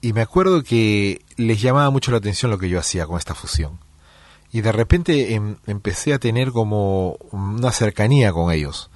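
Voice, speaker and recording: A middle-aged man from Peru, a musician giving interview answers in a relaxed storytelling way, with many fillers and restarts. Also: lowish voice, moderate pace, no echo. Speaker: musician guest.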